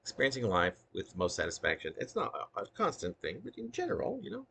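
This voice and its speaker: Low, gravelly man reading poetry. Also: huge pitch swings, fast, pushed and bright. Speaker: poet reading own work. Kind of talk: spoken poetry reading with casual asides.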